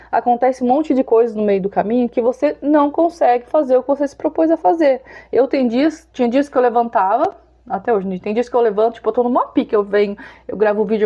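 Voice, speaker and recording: A woman's voice: on the high side, mellow, highs cut off. Chatty Brazilian woman in her forties. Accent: Brazilian Portuguese. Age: 40s. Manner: chatty, confiding, laughs often. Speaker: vlogger. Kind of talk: casual talk to camera.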